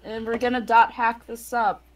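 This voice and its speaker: A woman's voice: a little high, level tone, moderate pace. A woman chatting and joking casually as a guest in a group game playthrough.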